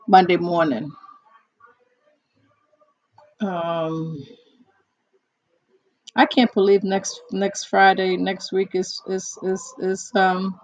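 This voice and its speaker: A hoarse woman in casual one-on-one chat, talking haltingly with many fillers and restarts. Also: medium pitch, a little mellow, dry recording, low-quality recording. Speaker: chat partner.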